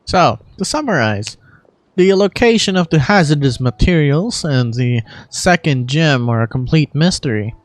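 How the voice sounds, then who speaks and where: A woman acts a calm, dutiful young adventurer from a fantasy game. The voice is low-pitched and expressive, earnest in delivery, over faint music. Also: moderate pace, no echo. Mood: concerned. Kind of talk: scripted game dialogue.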